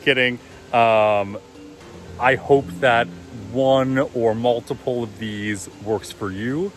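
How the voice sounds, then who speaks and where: A man with a deep voice giving relaxed, encouraging meditation advice in a casual vlog. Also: faint music behind. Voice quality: deep, low voice. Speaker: vlogger and meditation coach. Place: outdoors at a loud waterfall. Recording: outdoor recording with loud waterfall noise. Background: rushing waterfall.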